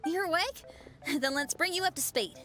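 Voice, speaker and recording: An eager young adventurer in a video game. Her voice is high and bright, with a breezy, cheerful delivery. Music runs quietly behind it. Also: expressive, normal speed, heavily acted. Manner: breezy, upbeat.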